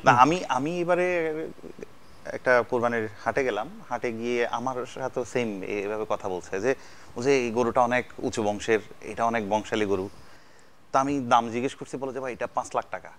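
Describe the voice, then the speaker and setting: A man's voice: mid-range voice, moderate pace, a bit bright. Jokey male talk show host. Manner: jokey, effusively complimentary to guests. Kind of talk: casual celebrity chat show hosting.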